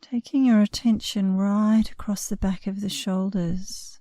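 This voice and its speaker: A woman meditation instructor guiding a relaxation session in a soothing, unhurried way, leaving long pauses between short phrases. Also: mid-range voice, fairly even intonation, mellow, no room sound, clean studio sound. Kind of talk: guided meditation, scripted narration.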